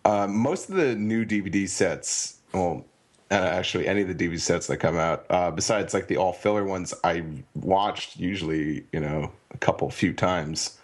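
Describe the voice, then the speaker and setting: A man co-hosting a podcast in casual chat, rambling and halting with many ums. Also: sits low. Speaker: podcast co-host.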